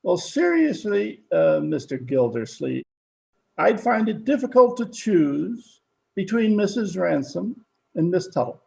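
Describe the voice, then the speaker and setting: An actor playing a dry, mild-mannered older shopkeeper with a hesitant, folksy, deadpan way of talking, in old-time radio comedy style. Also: male voice, sits high, unhurried, creaky, exaggerated voice.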